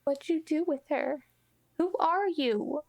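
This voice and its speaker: A woman's voice: high voice, takes it slowly, no room sound. A woman playing a hurt, suspicious girl in a tabletop roleplaying game.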